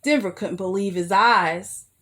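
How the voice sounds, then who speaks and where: A woman reading a children's picture book aloud as a storyteller, expressive and careful, with asides to her young listeners. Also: medium pitch, unhurried, slightly rough. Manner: addresses young listeners with simple questions.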